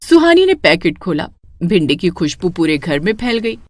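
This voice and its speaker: A woman narrating a scripted moral story in an expressive storytelling style. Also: on the low side, moderate pace, no room sound.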